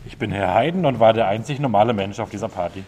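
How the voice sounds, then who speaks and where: A man's voice: lowish voice, quick pace, darkish tone, a little hiss or hum. A man speaking a short part in a children's radio play.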